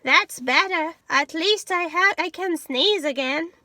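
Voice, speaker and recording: A woman's voice: very high-pitched, measured pace, sharp tone, no room sound, clean studio sound, voice pushed up, exaggerated voice. A woman voicing a grumbling, flustered little old lady from a storybook, exclaiming and pleading plaintively. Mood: relieved, delighted. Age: older.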